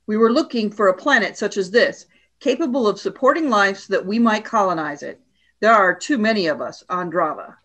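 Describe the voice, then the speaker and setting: A woman's voice: medium pitch, lively intonation, fairly quick, a bit bright. An imperious alien warrior woman, acted by a woman for a radio play. Her mid-range voice is cold and formal. She speaks as one used to command, stern and haughty. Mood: cold, severe.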